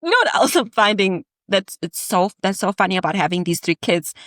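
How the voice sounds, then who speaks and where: A woman's voice: mid-range voice, animated, fairly quick, a bit bright, no room sound, clean studio sound. A woman in her thirties, a podcast guest, telling candid stories in conversation with playful asides and easy laughter.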